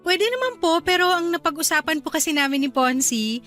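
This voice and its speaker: Polite, deferential young fiancée, high pitch, affectionate, radio serial with light music. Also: female voice, lively intonation, a little fast, a bit bright. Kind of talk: Filipino radio drama dialogue.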